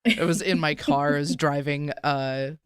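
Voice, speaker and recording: A man co-hosting a podcast, chatting casually and recapping in a smooth, clear voice. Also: on the high side, steady tone, normal speed, no echo, studio quality. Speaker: podcast co-host. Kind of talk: casual chat with recap narration.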